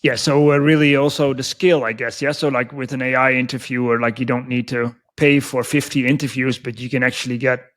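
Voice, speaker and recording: A man's voice: medium pitch, level tone, fairly quick, few pauses, a bit bright. A man hosting a podcast, asking casual questions and summing up his guest's points, often checking whether he has it right. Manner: thinks aloud, checks with "is that fair?". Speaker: podcast host.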